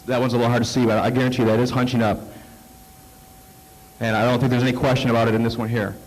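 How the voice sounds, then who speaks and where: A man presenting slides at a conference, halting with many fillers and restarts, fighting off a cold. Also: mid-range voice, little pitch movement, darkish tone, poor audio. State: coming down with a cold. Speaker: conference speaker. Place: conference hall.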